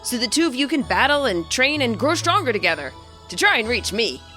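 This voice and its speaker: Woman voicing a showy, confident young male champion, bright tone. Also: on the high side, very animated, fairly quick, soft background music. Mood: proud, encouraging.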